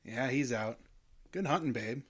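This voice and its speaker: A man's voice: medium pitch, lively intonation, unhurried, slight background noise, close to an everyday voice. An actor playing a slangy, insistent man, a slyly sinister butcher with a taste for blood, in an audio horror drama. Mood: calm, sinister. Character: sly, eager blood-drinking butcher, cheerfully sinister man.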